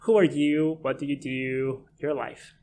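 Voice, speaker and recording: A man's voice: medium pitch, little pitch movement, a little slow, warm tone. A young man from Brazil, a podcast host, patiently explaining science in plain steps before an interview. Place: office room with a table. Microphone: desk mic on a boom arm.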